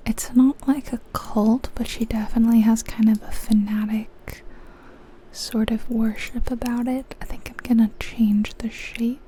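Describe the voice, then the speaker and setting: A woman whispering softly in an ASMR style, musing aloud over a game in an unhurried way, close to the microphone. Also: on the high side, flat delivery, a little hiss or hum, low-quality recording. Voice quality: soft, breathy whisper. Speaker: ASMR video creator.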